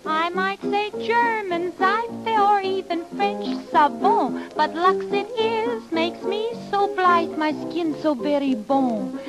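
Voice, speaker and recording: A woman's voice: very high voice, takes it slowly, few pauses, loud music behind the voice, low-quality recording. A woman singing a 1930s radio jingle in a song parody style. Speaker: singer. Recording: 1930s radio broadcast with band. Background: loud musical accompaniment.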